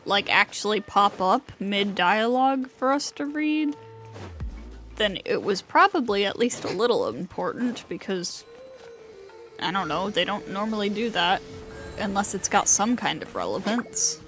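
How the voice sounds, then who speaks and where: A woman's voice: medium pitch, very animated, unhurried, fairly bright tone, soft background music. A woman livestreaming a game with casual, chatty and playful commentary.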